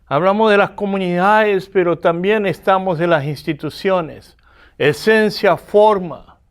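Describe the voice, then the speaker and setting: A man's voice: high voice, a little slow, clear, resonant tone. A man from Argentina with an Argentine accent, giving a classroom lecture and often asking the students rhetorical questions. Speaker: seminary lecturer.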